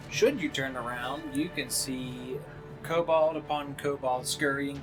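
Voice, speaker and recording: A man's voice: mid-range voice, low-quality recording. Male game master narrating over music. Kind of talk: casual narration of a tabletop game. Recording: music playing behind.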